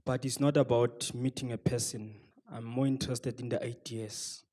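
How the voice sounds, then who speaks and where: Flat, mellow-voiced man. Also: medium pitch, moderate pace. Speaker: audience member.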